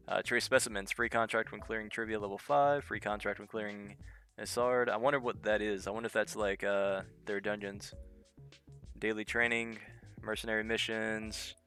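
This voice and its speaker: A young man with a head cold talks through a mobile game in an easy, offhand way. His voice sits low and fairly flat, but it has a bright, forceful edge. Faint game music runs underneath. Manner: thinks aloud while reading in-game skill text. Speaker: gaming channel host. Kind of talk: casual gameplay commentary.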